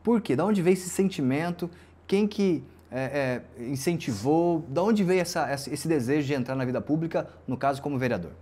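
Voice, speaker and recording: Halting male host with a mellow voice. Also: on the high side, breathy edge. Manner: halting, restarts while asking. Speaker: talk show host. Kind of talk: interviewer asking questions.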